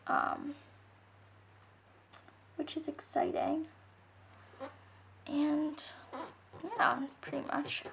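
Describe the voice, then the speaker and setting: A slightly hoarse young woman chatting casually while she decorates her planner, rambling softly and often dropping to a near whisper. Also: a little high, slight background noise. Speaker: planner vlogger.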